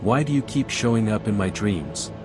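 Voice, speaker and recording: An actor playing a composed, resolute envoy hero in a video game, speaking in measured, direct phrases. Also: male voice, on the low side, expressive, natural voice. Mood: defensive. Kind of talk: video game dialogue acting. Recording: echoey hall reverb over music.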